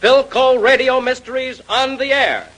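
A man's voice: extremely high pitch, steady tone, fairly bright tone. A man announcing from a script in an emphatic 1930s radio style. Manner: emphatic, drawn-out key phrases.

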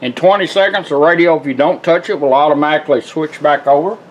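A man's voice: a little high, quick pace, poor audio. A plainspoken, folksy man giving a casual hands-on product demonstration at a workshop bench. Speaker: product demonstrator, radio restorer.

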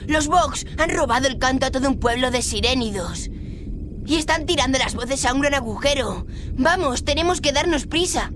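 A woman's voice: high-pitched, expressive, moderate pace, noisy background. A woman voicing an anxious, eager young boy fish in a video game, urgent and childlike. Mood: alarmed, urgent. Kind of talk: video game character acting.

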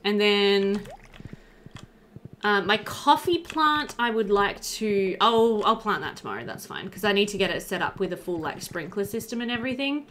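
A woman's voice: mid-range voice, pushed and bright. A young woman giving chatty, informal, giggly commentary while playing a video game. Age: young adult. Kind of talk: casual let's play commentary.

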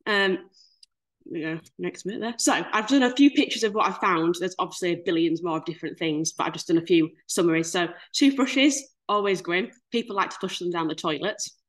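A woman's voice: lowish voice, a little fast. A woman in her twenties with a Yorkshire accent, giving a chatty, good-humoured presentation over a video call. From Leeds, Yorkshire, England. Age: 20s. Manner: chatty, lively, self-deprecating humour. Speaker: guest speaker. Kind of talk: casual webinar presentation.

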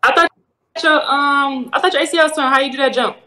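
A woman's voice: high-pitched, quick pace, pushed and bright, slight background noise. A woman in her twenties on a video call, blunt and unimpressed. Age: 20s. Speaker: online date contestant. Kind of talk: casual video call chat.

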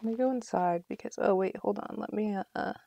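A woman streams a game with relaxed, off-the-cuff commentary. Her voice is mellow, with plenty of vocal fry, and sits close and dry on the recording. She talks in a chatty, apologetic way. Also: mid-range voice, a little fast, dry recording, lo-fi sound. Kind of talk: casual live gameplay commentary.

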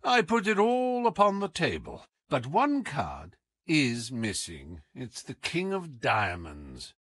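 A man voicing a sharp, commanding detective in an audiobook, coolly taunting, with deliberate, teasing emphasis. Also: medium pitch, wildly swooping pitch, dry recording, exaggerated voice. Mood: calm, persuasive. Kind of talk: audiobook character acting.

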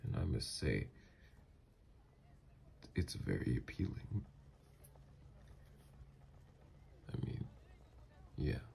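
Man acting a very deep, gravelly mafia boss lover. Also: sing-song, slow pace, a little mellow, slight background noise, heavily acted. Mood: low, teasing. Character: doting, flustered male mafia boss lover, gruff yet flirtatious. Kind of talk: intimate ASMR roleplay audio acting.

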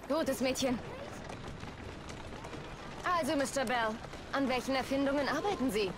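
A woman's voice: high voice, unhurried, background noise. A woman playing a composed young Victorian adventurer in a video game, assured, polite and direct. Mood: confident, curious. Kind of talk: German video game dub acting.

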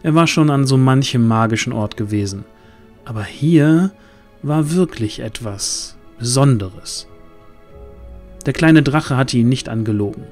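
A man's voice: medium pitch, a little slow, darkish tone, faint music behind. A man narrating a scripted fantasy audio drama in a dramatic storytelling manner.

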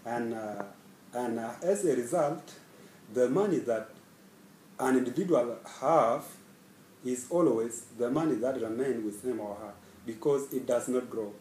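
A young man from South Sudan introduces himself in an earnest, halting way, pausing often between thoughts. His voice is mellow and soft-edged. He sits a little back from the mic in an echoey small office. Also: mid-range voice, slight background noise. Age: young adult. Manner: earnest, hesitant with many pauses and fillers. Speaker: scholarship student. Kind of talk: self-introduction to camera. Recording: echoey room, slightly far from mic.

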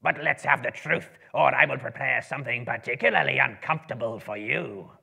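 A man voicing a huge, pompous, wrathful goblin king with a raspy, gravelly voice and a loud, accusing, drawn-out delivery. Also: mid-range voice, voice pushed up, heavily acted. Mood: suspicious, menacing.

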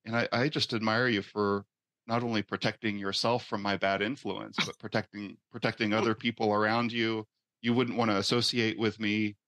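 A man's voice: lowish voice, little pitch movement, normal speed, a bit bright, dry recording. A man, a guest therapist, speaking earnestly in a practice role-play with frequent repeated words.

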